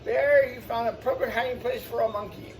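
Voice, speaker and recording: A man's voice: extremely high pitch, fairly even intonation, brisk. A man hosting storytime, reading a children's picture book aloud with exclamations and explanatory asides for young listeners. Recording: distant mic, faint background noise.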